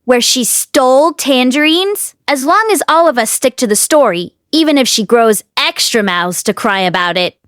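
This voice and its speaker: A woman's voice: high-pitched, expressive, normal speed, hardly any pauses, a bit bright, smooth, no room sound, studio quality. A woman reading an audiobook, voicing a domineering, scheming middle-aged wife with an insistent, calculating manner.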